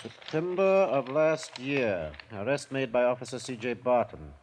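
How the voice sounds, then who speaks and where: A tough police detective acted by a man in a 1950s radio drama. He interrogates in a medium-pitched voice, blunt, dry and sarcastic, on a faintly noisy vintage recording with clicks. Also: expressive, measured pace, darkish tone, lightly acted. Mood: stern, sarcastic.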